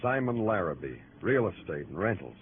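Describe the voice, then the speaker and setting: An actor playing a hard-boiled police lieutenant who narrates an old radio drama, dry and sardonic. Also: male voice, low voice, big pitch swings, normal speed, slight background noise. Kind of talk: 1940s radio acting. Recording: tinny old radio with music.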